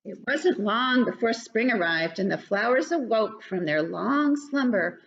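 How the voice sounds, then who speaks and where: An older woman reading a picture book aloud to young children in an animated, child-directed way. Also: fairly high pitch, measured pace, gravelly. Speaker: children's story reader. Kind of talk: read-aloud picture book narration for preschoolers. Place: living room at home. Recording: dull, lo-fi webcam sound.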